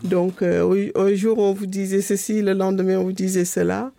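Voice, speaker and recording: A middle-aged woman from Senegal, a Pulaar speaker answering radio interview questions in a measured, thoughtful way with pauses. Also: medium pitch, level tone, vocal fry, mellow. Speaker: guest.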